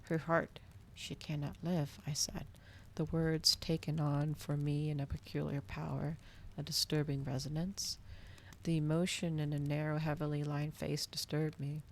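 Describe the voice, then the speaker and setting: A woman reading a novel aloud in a soft, cozy ASMR style, with slow, dramatic literary phrasing. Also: sits low, level tone, slight background noise. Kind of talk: cozy ASMR storybook narration.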